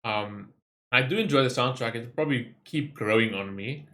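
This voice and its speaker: A man's voice: medium pitch, normal speed, fairly bright tone. A man giving casual, opinionated gaming reaction commentary, talking in a rambling, enthusiastic way as a video host.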